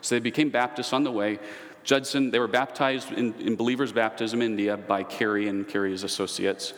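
A man with a fairly low voice delivers a relaxed, conversational lecture. He drifts into side comments and stories from his own teaching. His voice rings out with the long reverb of a large hall. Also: fairly quick. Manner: digressive, asides and personal anecdotes. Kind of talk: conversational church history lecture.

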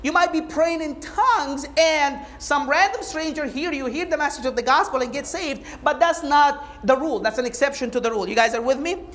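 High-voiced woman preaching emphatically. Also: fairly quick, few pauses. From Egypt. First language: Arabic. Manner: emphatic, animated preaching with rhetorical questions. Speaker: Bible teacher. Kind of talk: sermon-like teaching talk.

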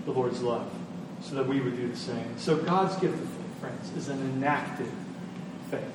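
A man preaching a sermon as a pastor, speaking deliberately with emphatic repetition and pauses. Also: on the high side. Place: large reverberant room, live church service. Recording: echoey hall, distant mic, noisy.

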